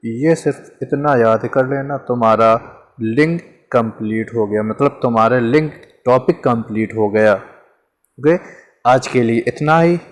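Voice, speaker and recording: Male teacher, mid pitch, chatty lesson delivery with rhetorical questions and lots of repetition. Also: measured pace. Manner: conversational, repeats examples, asks and answers himself. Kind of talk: informal grammar lesson.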